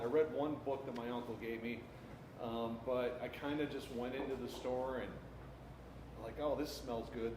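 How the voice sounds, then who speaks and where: Middle-aged male panelist, craft brewer, halting and self-deprecating, echoey and noisy live recording. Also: mid-range voice. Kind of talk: casual panel talk. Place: indoor room at a live panel event. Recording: echoey room, clearly noisy live stream.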